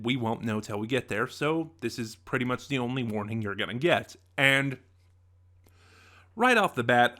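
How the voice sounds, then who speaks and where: Bright-voiced chatty male reviewer. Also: medium pitch. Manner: chatty, animated, rambling asides. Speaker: podcast reviewer. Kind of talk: unscripted review talk.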